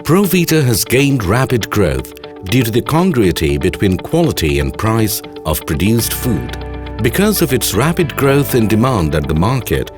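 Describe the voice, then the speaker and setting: A male narrator reads a scripted corporate documentary. His voice sits fairly low and moves with animated, lively intonation, with music clearly playing behind him. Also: normal speed.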